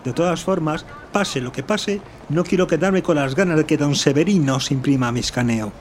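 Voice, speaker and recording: Man acting an eager, high-voiced young Spanish adventurer. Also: normal speed, a little hiss or hum, natural voice. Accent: Spain. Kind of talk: Spanish audio drama acting.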